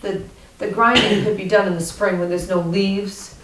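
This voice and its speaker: A woman on the town board running a meeting, brisk and asking questions. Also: mid-range voice, fairly even intonation, a bit bright, a little hiss or hum. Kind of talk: meeting discussion. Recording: echoey room, far from the mic.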